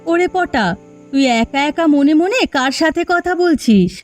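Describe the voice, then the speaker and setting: A woman's voice: high voice, animated, measured pace, soft background music. A woman voicing a caring aging mother. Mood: affectionate. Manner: scolding, affectionate. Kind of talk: Bengali cartoon dubbing.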